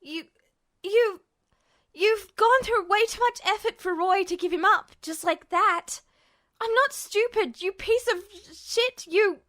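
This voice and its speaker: Woman voicing a desperate, defiant young man, high-pitched. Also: slow, full character voice. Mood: desperate, panicked. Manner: stammering, shaky, forceful outbursts.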